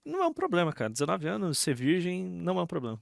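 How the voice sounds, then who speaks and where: Higher-voiced Brazilian man, casual streamer. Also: wildly swooping pitch, fast, gravelly. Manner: slangy, repeats phrases, sarcastic asides. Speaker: streamer reacting to a video. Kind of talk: casual live reaction commentary.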